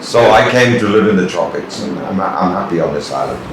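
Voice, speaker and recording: Deep-voiced older Scottish man, rambling. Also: brisk, recorded from a distance. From born in Kenya, raised in Tanzania. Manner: relaxed, rambling storyteller with many fillers. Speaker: interview guest. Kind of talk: casual interview chat. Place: office with large desk. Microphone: camera on desk tripod. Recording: echoey room with background noise.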